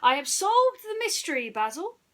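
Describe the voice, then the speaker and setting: A woman's voice: very high-pitched, sing-song, moderate pace, sharp tone, pitched above the performer's own voice, exaggerated voice. A woman voicing a courteous, level-headed male mouse, a detective's helper in a bedtime story, speaking politely and with assurance. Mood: pleased, assured.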